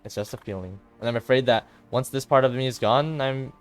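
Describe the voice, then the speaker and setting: A despairing teenage girl, a club president weighed down by guilt acted by a man. The voice is quiet and hesitant over music, in the style of visual novel drama. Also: mid-range voice, fairly quick, clear, resonant tone, natural voice. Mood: resigned. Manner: subdued, hesitant, self-blaming.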